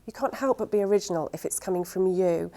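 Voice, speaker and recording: A woman giving considered advice in a reflective interview, pausing as she thinks. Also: mid-range voice, dark tone. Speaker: radio drama producer giving writing advice.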